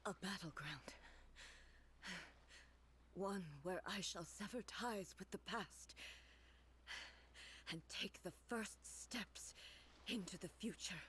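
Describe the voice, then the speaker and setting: A woman voicing a solemn, dutiful female ruler of divine stature in a video game, speaking in a measured, formal and grave manner. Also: mid-range voice, a bit bright, slight background noise. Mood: resolute.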